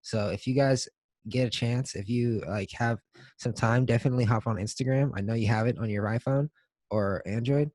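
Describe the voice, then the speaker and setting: A man's voice: medium pitch, moderate pace, darkish tone. A man co-hosting a podcast in a casual, comedic chat, talking in profane slang. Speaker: podcast co-host.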